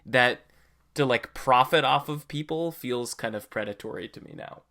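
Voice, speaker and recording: Guy hosting a podcast, sharp bright voice, chatting casually and giving his takes. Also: mid-range voice, moderate pace. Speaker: podcast host.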